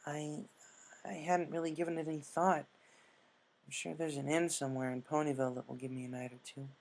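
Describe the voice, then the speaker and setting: A narrator voicing a downhearted, anxious young female pegasus pony in a subdued, halting way. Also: medium pitch, level tone, a little mellow, natural voice.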